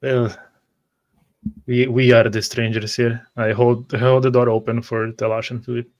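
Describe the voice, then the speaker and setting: A man playing a tabletop roleplaying game, speaking in character in a polite, formal and halting manner. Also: medium pitch, flat delivery, darkish tone, dull audio.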